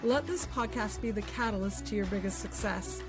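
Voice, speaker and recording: A woman hosting a podcast interview, effusive and encouraging, with a slow, emphatic delivery. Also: medium pitch, music in the background, poor audio. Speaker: podcast host and mentor.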